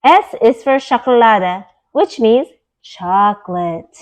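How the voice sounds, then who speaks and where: A woman with a fairly high voice reads a picture book aloud for children. Her delivery is deliberate and teacherly, setting out each word and its meaning clearly for young listeners. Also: expressive, lo-fi sound. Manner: deliberate, teacherly, explaining words.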